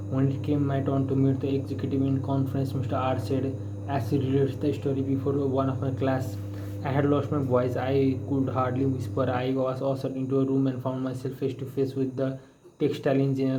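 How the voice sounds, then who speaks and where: A man's voice: medium pitch, noisy background. A man reading a book aloud, stumbling and restarting on some words. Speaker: book reader. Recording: roomy echo with clear background ambience.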